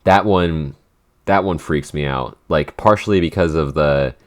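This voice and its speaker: A man in a casual unscripted podcast Q&A, talking in a halting, rambling way with lots of "like". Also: extremely low pitch. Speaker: podcast creator and co-host answering listener questions.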